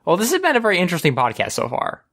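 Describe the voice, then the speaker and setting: Man, bright tone, expressive pitch swings, casual podcast talk, wry. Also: racing, gravelly. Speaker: podcast co-host. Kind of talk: casual chat about video games.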